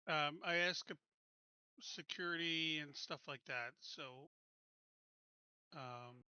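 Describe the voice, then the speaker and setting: A man playing a tabletop game, talking through plans casually in deliberate, stop-and-start phrases. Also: on the high side, bright, forceful tone, smooth, no room sound, highs cut off. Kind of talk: casual game planning talk.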